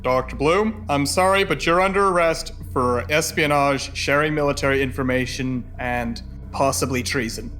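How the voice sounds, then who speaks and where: A man's voice: mid-range voice, moderate pace, a bit bright, soft background music, lightly acted. A man playing an earnest, by-the-book young military officer in an audio drama, formal and reasoning. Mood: stern, regretful.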